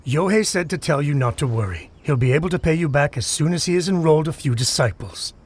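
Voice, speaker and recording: A man's voice: a little high, animated, quick pace, noisy background, natural voice. An actor playing a polite, matter-of-fact townsman in a video game.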